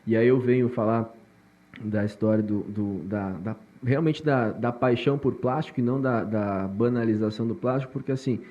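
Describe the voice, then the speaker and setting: A man's voice: mid-range voice, highs cut off. A male podcast host who asks long, rambling questions full of restarts. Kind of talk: podcast interview.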